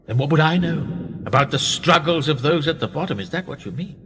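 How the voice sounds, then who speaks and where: A man's voice: fairly high pitch, expressive, brisk, a bit bright, a little hiss or hum. An actor playing a wealthy upper-class British businessman in a radio play, urbane, wry and self-mocking, with formal, rhetorical speech. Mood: musing, pointed. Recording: reverberant room with long echo.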